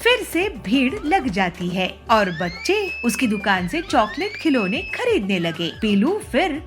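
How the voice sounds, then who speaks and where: Woman narrator, children's cartoon story, lively pitch, slightly high, soft music behind. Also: unhurried.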